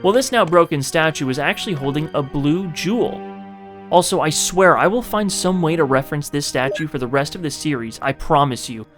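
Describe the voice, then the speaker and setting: A man's voice: fairly high pitch, animated, fairly quick, fairly bright tone, faint music behind. A man narrating a video game playthrough in a dramatized, scripted way, with casual, profane, jokey asides to the viewer. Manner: theatrical, self-interrupting asides to the viewer.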